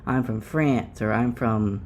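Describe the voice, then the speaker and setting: A man conducting a casual family interview, speaking haltingly and rephrasing as he goes. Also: mid-range voice, darkish tone.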